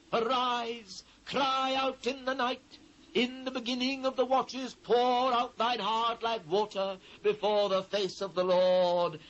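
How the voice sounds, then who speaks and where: Anguished biblical prophet, British, high voice, solemn declamation, slow delivery, for dramatised scripture. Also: male voice, a bit bright, highs cut off, heavily acted. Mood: impassioned grief. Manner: solemn, declamatory, measured phrasing. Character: anguished biblical prophet lamenting a fallen city. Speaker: actor reading scripture. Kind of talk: dramatised scripture reading, theatrical. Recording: faint noise, old cassette transfer.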